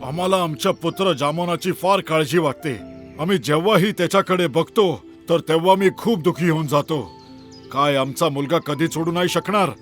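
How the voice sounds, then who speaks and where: A man's voice: on the high side, normal speed, fairly bright tone, soft background music, natural voice. A man voicing a regal eagle king, a worried father who speaks in a stately, formal way in a children's cartoon. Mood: worried, sorrowful. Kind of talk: animated children's story dialogue.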